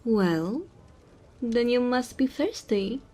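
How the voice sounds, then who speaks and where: A woman's voice: a little high, expressive, slow, warm tone. A woman playing a small, cheeky goblin bartender in a fantasy audio roleplay, teasing and chatty with the customer. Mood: playful, welcoming. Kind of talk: fantasy audio roleplay, addressing the listener softly.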